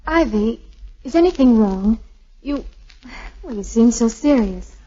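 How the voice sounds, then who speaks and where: An actress playing a tender, anxious young bride-to-be in a 1940s radio drama. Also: female voice, on the high side, expressive, a little slow, warm tone. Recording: dull 1940s radio recording.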